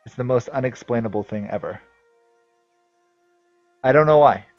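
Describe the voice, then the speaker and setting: A man from New England with a New England accent, casually commenting on his strategy game as he plays and thinking out loud with many fillers. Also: mid-range voice, normal speed, lo-fi sound, faint music behind. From New England, USA. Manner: thinks aloud, rambling with fillers. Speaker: game streamer. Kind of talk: casual commentary while playing.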